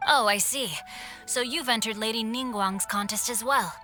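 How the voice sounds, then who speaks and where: A woman's voice: on the high side, moderate pace, music in the background, close to an everyday voice. A woman voicing a courteous, bookish young nobleman who speaks formally and eloquently in a video game cutscene. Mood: matter-of-fact.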